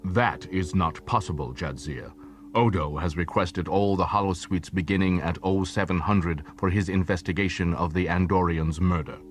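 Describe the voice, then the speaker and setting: A man voicing a huge, proud alien warrior in an audiobook, stern, formal and deliberate. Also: low-pitched, faint music behind, lightly acted. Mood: stern, insistent. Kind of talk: audiobook character acting.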